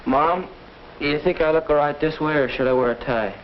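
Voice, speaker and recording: A man's voice: on the high side, moderate pace, natural voice. An actor playing an earnest, polite male student in a 1950s educational film, heard on an old soundtrack. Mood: thoughtful. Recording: dull old film soundtrack, faint noise.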